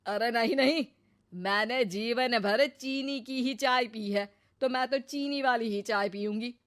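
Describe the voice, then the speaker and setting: A woman's voice: fairly high pitch, measured pace, a bit bright, no echo, studio quality. An actress playing a grumpy, domineering middle-aged mother-in-law in a cartoon story, with a scolding, sarcastic manner. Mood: indignant. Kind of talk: Hindi cartoon story acting.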